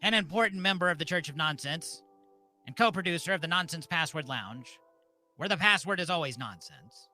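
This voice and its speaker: Guy doing a deadpan fake sermon, kinda high bright forward voice, soft music behind him. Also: a little fast. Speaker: host and mock officiant of a comedy live show. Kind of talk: mock-solemn scripted ceremonial sermon.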